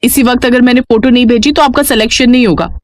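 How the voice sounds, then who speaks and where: A woman voicing a suspicious, scheming young sister-in-law in a storybook tale, excitable and nagging. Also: fairly high pitch, quick pace, voice pushed up, close to an everyday voice. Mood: urgent. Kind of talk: Hindi moral storybook character voice.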